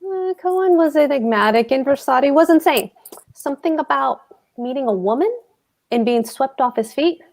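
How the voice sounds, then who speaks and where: A woman's voice: high-pitched, expressive, normal speed. A woman playing a brusque, stubborn husband and landlord in a comic play table read, speaking in blunt, clipped phrases.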